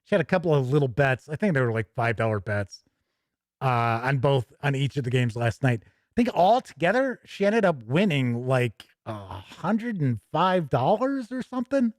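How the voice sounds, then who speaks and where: Animated man hosting a casual livestream. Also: medium pitch, normal speed, dry recording, studio quality. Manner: animated, folksy, jokey storytelling. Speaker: host of a tabletop gaming news livestream. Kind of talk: casual livestream chat with viewers.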